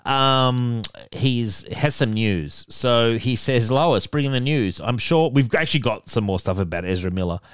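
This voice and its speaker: A man's voice: medium pitch, a little slow, hardly any pauses, smooth, dry recording. A man with an openly nasal voice reads listener emails aloud and chuckles often. The sound is dry and close, with no room around it. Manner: laughs often, chuckling between remarks. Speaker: podcast co-host reading listener emails. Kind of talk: casual chat.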